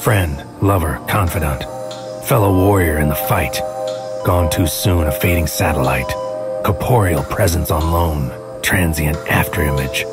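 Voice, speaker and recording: A man playing a bleak, alienated psychic warrior, speaking a deliberate, poetic inner monologue in an audio drama. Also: a bass voice. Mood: bleak, grieving. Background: music.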